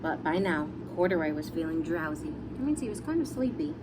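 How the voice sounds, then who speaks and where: A woman's voice: sits low, big pitch swings, fairly quick, noisy background. A woman reading a storybook aloud expressively for young children, in simple explanatory fashion. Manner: explains words simply as she reads. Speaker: storybook reader.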